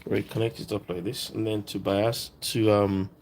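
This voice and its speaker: A man presenting a casual hands-on electronics tutorial, explaining as he works in a halting, informal way, thinking aloud. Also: fairly low pitch, level tone, darkish tone.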